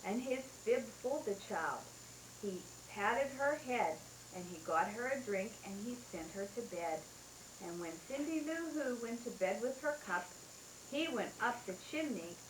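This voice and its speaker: A woman's voice: a little high, echoey room, a little hiss or hum, rough recording. A mother reading a rhyming bedtime story aloud in a sing-song way, taped at home.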